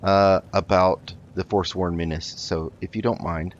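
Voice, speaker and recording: A man improvising in character while playing a video game, speaking politely and haltingly. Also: low voice, fairly even intonation. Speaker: let's play host. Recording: dull lo-fi mic with noticeable noise.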